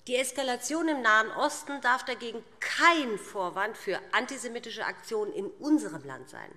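A woman's voice: on the high side, animated, moderate pace, bright, forceful tone. Middle-aged woman speechmaking in a reverberant hall. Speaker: parliamentary speaker for a party group. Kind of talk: formal prepared political speech. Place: large indoor parliament chamber. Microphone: lectern microphone.

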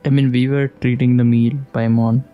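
A woman's voice: very deep voice, little pitch movement, normal speed, phone-quality sound, noisy background. A woman giving casual, chatty, amused commentary as she streams a video game playthrough. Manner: amused, chatty, reacting to characters. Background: game music.

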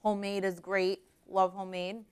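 A woman's voice: medium pitch, flat delivery, moderate pace, smooth. A woman, casually explaining cooking steps as a show host in a kitchen. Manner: explains step by step in chatty asides. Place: home-style kitchen, indoors.

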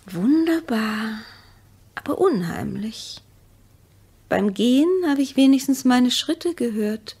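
An actress in a German radio play voicing a distrustful, needling wife, speaking in a measured, pointed way with hushed phrases. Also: female voice, a little high, animated, husky, full character voice. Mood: hushed wonder.

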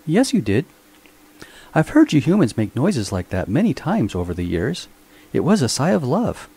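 A man's voice: mid-range voice, wildly swooping pitch, normal speed, dark tone, husky, pitched above the performer's own voice, exaggerated voice. A man voicing a fussy, servile male robot servant who complains politely. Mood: prim. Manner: prim, polite, whiny complaining.

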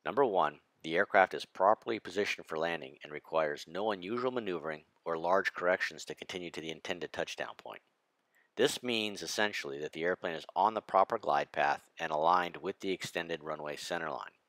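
A man, a flight instructor, narrating a lesson on approach and landing in a measured, precise way. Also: sits low, a bit bright, dry recording. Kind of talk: scripted narration over slides.

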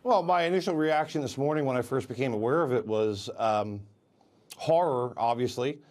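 A man's voice: medium pitch, lively intonation, moderate pace, darkish tone. A middle-aged man, a guest on a morning TV show speaking by remote link, firm and combative in his answers. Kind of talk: live TV interview by remote link. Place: remote TV studio.

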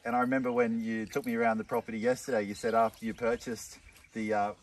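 A man's voice: lowish voice, little pitch movement, fast. A man in his thirties with an Australian accent, hosting an upbeat outdoor vlog in a chatty, enthusiastic way. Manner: chatty, enthusiastic, uses "mate". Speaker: vlog host. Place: outdoors at a riverside bush camp. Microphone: camera mic from a few metres away. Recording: distant mic, faint outdoor noise.

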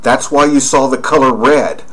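A man's voice: mid-range voice, moderate pace, a bit bright, low-quality recording. A man narrating over screen images, rambling and pointing out details as he goes. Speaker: video narrator.

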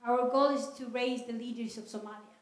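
A woman answering audience questions at a lecture in an earnest, measured way, speaking into a handheld microphone in a large hall. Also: fairly high pitch, fairly even intonation. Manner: earnest, measured, non-native phrasing. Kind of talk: public Q&A talk. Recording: echoey hall.

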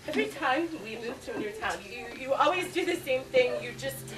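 A woman's voice: sits high, lively intonation, brisk. A woman on stage playing a perky, anxious wrestler's wife, coaxing and gushing in a comic style. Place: small theatre stage, indoors. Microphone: far camera in the audience. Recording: distant stage recording, audience noise.

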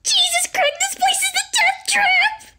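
A woman's voice: very high-pitched, level tone, heavy background noise. A woman voicing a jittery, paranoid teenage boy in a fan comic dub, talking in a frantic, shaky rush. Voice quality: frantic, shaky, rapid worrying.

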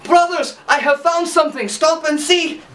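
A storyteller voicing Silly Hans, a cheerful, foolish young man who talks in a high, bright sing-song. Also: normal speed, slight background noise. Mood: eager. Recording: roomy, from a distant mic.

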